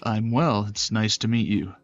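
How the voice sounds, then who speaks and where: A man voicing a polite, reserved young male prosecutor in a visual novel, speaking in a measured, earnest way. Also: on the low side, no echo, dull audio, soft background music, lightly acted. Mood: polite, businesslike. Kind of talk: visual novel character voice acting.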